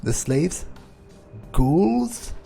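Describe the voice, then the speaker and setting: A man role-playing a sardonic male vampire who thinks aloud in a halting, musing way during a game playthrough. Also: on the high side, big pitch swings, vocal fry, warm tone, faint music behind, exaggerated voice. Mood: scheming. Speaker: gamer role-playing a character. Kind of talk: in-character improvised game playthrough monologue.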